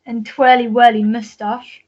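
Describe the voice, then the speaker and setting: A woman playing a jealous, vengeful jilted sweetheart, theatrical and drawn-out, in a radio melodrama. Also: fairly high pitch, flat delivery, darkish tone, lo-fi sound. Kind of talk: melodramatic radio play acting.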